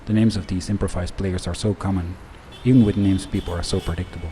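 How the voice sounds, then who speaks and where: Male narrator, low and dark in tone, measured, introspective monologue over faint urban vehicle ambience. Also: steady tone, slight background noise. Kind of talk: scripted first-person monologue narration.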